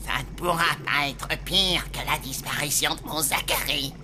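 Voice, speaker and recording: A woman's voice: low-pitched, normal speed, background noise, close to an everyday voice. A woman voicing a melodramatic, hot-tempered, sentimental chef in a French children's cartoon. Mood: mournful.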